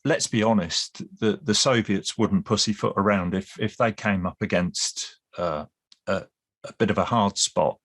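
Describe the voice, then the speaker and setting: Low-voiced man explaining. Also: measured pace, a bit bright. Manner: explanatory, frequent restarts, dry humour. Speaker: guest expert on Cold War British Army. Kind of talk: casual podcast discussion.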